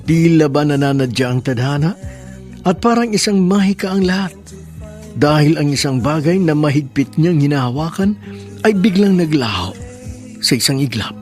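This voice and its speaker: A man's voice: fairly high pitch, animated, normal speed, music in the background. A man hosting and narrating a radio romance drama in an earnest, sentimental storytelling manner.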